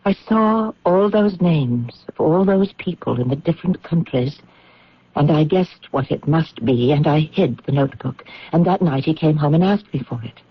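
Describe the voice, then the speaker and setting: Anxious, husky-voiced widow. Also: female voice, low voice, lively intonation, normal speed. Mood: confiding. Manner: hesitant, earnest. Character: anxious British widow confessing a secret to officials. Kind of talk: radio drama acting. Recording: dull, muffled old radio broadcast.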